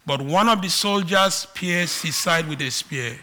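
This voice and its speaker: Nigerian-accented preacher, bright voice. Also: male voice, a little high, a little slow. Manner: swings between loud emphatic declarations and quiet asides. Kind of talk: sermon.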